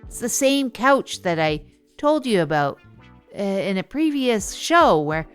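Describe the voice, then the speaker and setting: A woman's voice: mid-range voice, big pitch swings, measured pace, clear, resonant tone, soft background music. A woman playing an awkward, earnest small-town podcast host who rambles through comedic stories and digressions. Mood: earnest rambling. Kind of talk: rambling comedic monologue storytelling.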